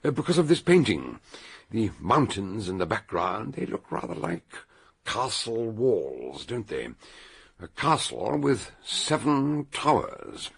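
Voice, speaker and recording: An actor playing an eccentric, courteous scholarly sleuth in a radio detective drama, with a husky, gravelly voice and a hesitant, stammering manner. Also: male voice, on the low side, sing-song, slow pace, full character voice. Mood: musing, probing. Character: eccentric, courteous, bumbling, inquisitive scholarly male amateur detective. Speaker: amateur detective.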